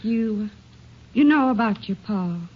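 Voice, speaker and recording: An actress playing an older country wife, plainspoken and scolding, with slow folksy speech in a 1950s radio drama. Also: female voice, medium pitch, animated. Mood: fussing. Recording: muffled vintage radio recording with faint hiss.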